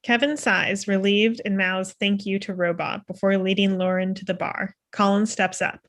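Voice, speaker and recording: A woman's voice: medium pitch, steady tone, moderate pace, a bit bright. Woman reading screenplay stage directions. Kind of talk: scripted narration, table read. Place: room at home, video call. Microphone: video call computer microphone.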